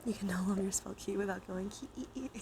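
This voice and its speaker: Creaky soft-spoken woman doing ASMR. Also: mid-range voice, a little fast, background noise. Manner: soft-spoken, often slipping into whispers. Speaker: streamer hosting a live ASMR stream. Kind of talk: ASMR, softly chatting with live viewers.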